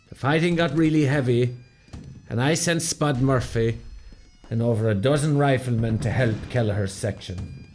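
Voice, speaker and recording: An actor playing an Irish veteran officer who recounts a battle in a radio play, grave and measured, with an Irish accent. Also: male voice, medium pitch, a little mellow. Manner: measured, storytelling. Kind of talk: dramatic first-person radio narration.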